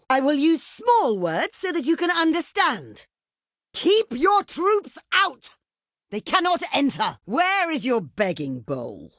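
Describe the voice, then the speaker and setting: Casting: proud desert queen, video game. Theatrical, haughty, courtly diction, very animated intonation, dry close studio sound. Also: sits high, no room sound, exaggerated voice. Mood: scornful, outraged. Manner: haughty, theatrical, formal and ornate phrasing. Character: proud, imperious ancient desert queen, scornful and grandly courteous. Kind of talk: video game diplomacy voice lines.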